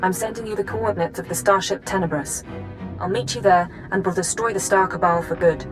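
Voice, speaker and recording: An actress playing a businesslike, dry-witted intelligence handler in a video game. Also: female voice, mid-range voice, a little fast, music in the background, natural voice, poor audio. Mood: brisk, dry.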